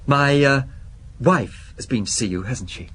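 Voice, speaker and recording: An actor playing a nervous, obsessive husband in a radio drama, speaking hesitantly and apologetically yet with stubborn insistence. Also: male voice, mid-range voice, measured pace, fairly bright tone, a little hiss or hum, close to an everyday voice. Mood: anxious, apologetic. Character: nervous, obsessive shop-employee husband fearing poisoning.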